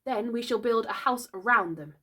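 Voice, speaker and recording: A woman's voice: fairly high pitch, moderate pace, bright, forceful tone, breathy edge, voice pushed up. A woman voicing a young boy leader in a storybook, stern and cocksure, with imperious, captainy delivery. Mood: commanding. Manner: captainy, imperious, clipped orders. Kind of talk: storybook character voice.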